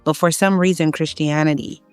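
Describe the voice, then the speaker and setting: A woman's voice: steady tone, moderate pace, clean tone, faint music behind. A woman from Haiti giving an emphatic, opinionated casual monologue, her voice climbing when she presses a point. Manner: emphatic, rising in pitch when making a point. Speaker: online content creator.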